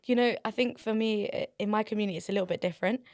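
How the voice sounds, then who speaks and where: Woman hosting, lively and chatty, dry close-miked sound, mid-pitched voice. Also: racing, no room sound. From Somalia. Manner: chatty, animated, acts out quotes. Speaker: podcast host. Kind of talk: casual conversational interview.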